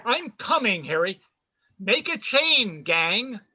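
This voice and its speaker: A performer playing a bold, excitable twelve-year-old boy in a radio play, calling out in drawn-out exclamations. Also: medium pitch, slight background noise, full character voice. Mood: urgent. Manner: loud, exclaiming, drawn-out calls. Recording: dull, lo-fi video call audio.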